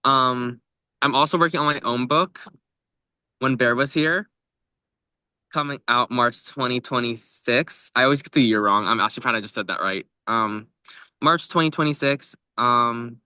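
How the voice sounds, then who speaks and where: A man's voice: medium pitch, moderate pace, pushed and bright, clear, resonant tone, no echo. A young man co-hosting a book podcast, explaining things in an informal, casual chat style.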